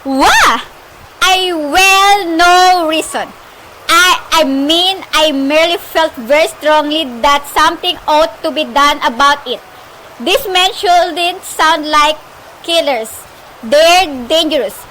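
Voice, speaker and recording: A woman's voice: high voice, slow pace, bright, forceful tone, heavily acted, poor audio. A woman playing an anxious, demanding, indignant married woman on the telephone in an amateur radio play. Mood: flustered. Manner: fretful, demanding, exclaiming. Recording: faint background noise, amateur home recording.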